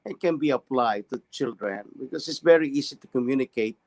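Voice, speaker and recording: A man hosts an interview, his English halting and roundabout, his words full of warm praise for his guest. His voice sits at a middle pitch, close and dry in the recording. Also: expressive, no room sound. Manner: halting non-native English, effusive praise. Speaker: interview host. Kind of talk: interview questions and comments.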